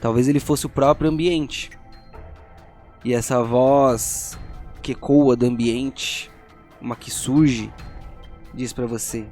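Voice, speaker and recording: A man narrating a tabletop fantasy story as game master, in a grave, deliberate storytelling manner. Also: mid-range voice, steady tone, darkish tone. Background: faint music.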